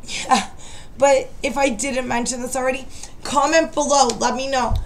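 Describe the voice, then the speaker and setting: A high-voiced young woman talks to her webcam from a dim bedroom at night, her voice worn as she loses it. She rambles chattily and keeps apologizing. A faint hiss sits under the recording. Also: steady tone, normal speed. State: losing her voice. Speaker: vlogger, makeup seller. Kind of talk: casual chat to camera.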